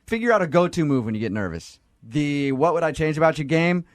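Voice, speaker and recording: A man hosts a morning radio show with a quick, teasing delivery. His pitch sits a little high for a man. He jokes his way through lively banter. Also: no room sound, clean studio sound. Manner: joking, teasing.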